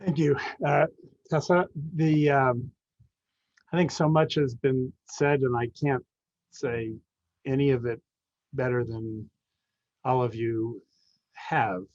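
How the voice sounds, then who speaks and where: A man giving reflective closing remarks as a dean, halting and measured in his phrasing. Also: medium pitch, fairly even intonation, darkish tone, no echo, lo-fi sound. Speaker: law school dean.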